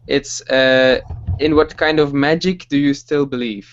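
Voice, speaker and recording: A man in his twenties from Belgium hosting a casual group livestream, relaxed and halting with many fillers and soft laughs. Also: medium pitch, highs cut off, a little hiss or hum. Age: 20s. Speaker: livestream host.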